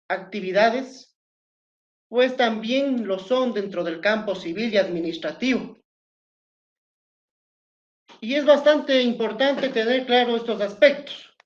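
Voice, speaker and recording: A man from Quito with an Ecuadorian accent, a lawyer giving an earnest, explanatory talk at a virtual conference, hesitating now and then as he speaks. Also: high voice. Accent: Ecuadorian Spanish, Quito. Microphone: video call. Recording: echoey, somewhat dull video call audio.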